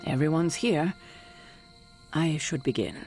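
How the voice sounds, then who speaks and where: A woman voicing a stern, weary team leader in a game, speaking in a measured, earnest, formal way. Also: low-pitched, darkish tone, soft background music. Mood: hesitant.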